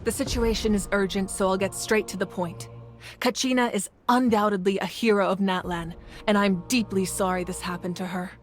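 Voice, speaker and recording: A woman voicing a composed, authoritative female ruler in a video game, speaking with measured calm. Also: mid-range voice, faint music behind, natural voice. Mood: earnest. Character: commanding yet gentle female ruler of a nation. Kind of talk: game voice acting.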